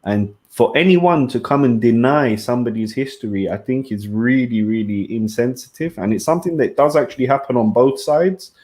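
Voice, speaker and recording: A young man speaking earnestly and at a measured pace in a casual podcast discussion over a video call. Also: mid-range voice, a little mellow. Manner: measured, earnest, repeats words. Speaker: podcast panelist.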